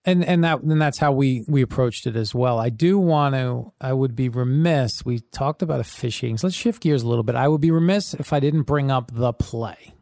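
A man's voice: medium pitch, gravelly, mellow, no echo, clean studio sound. A man hosting a podcast, interviewing a guest in a conversational way with loose, restarting phrasing. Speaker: podcast host.

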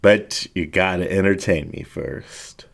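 A man's voice: low voice, steady tone, takes it slowly. A man voicing a laid-back, blunt and flirtatious male dingo in a casual, teasing way, as in an audio story.

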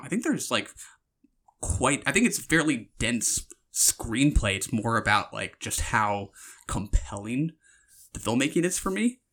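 Bright-voiced, lowish man discussing film. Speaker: film podcast co-host. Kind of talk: analytical casual film discussion.

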